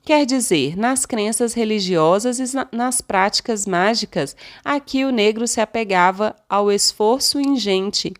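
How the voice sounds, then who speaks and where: A woman's voice: mid-range voice, unhurried, hardly any pauses. A woman with a Brazilian Portuguese accent reading a nonfiction audiobook as scripted narration.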